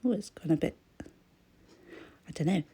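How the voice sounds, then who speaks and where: A croaky-voiced woman, chatting casually as she crafts, often trailing into a whisper. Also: lowish voice, lively intonation, slow, dark tone. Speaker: crafting vlogger. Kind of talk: casual voice-over chat while crafting.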